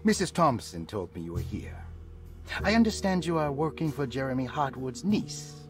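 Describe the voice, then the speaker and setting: An actor playing a suspicious doctor in a game, who questions a detective in a wary, mildly mocking way. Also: male voice, medium pitch, sing-song, normal speed, close to an everyday voice. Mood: probing. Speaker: game character. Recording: game audio with music score.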